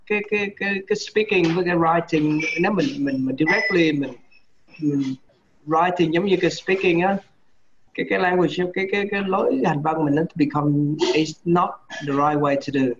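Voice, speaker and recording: Halting Vietnamese-accented man on a video call. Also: on the high side, noisy background. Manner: halting, many restarts and "you know" fillers. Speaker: medical teacher giving feedback. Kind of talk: online class feedback, mixing Vietnamese and English. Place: home room on a video call. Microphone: laptop or webcam mic on a video call. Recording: dull lo-fi video call audio.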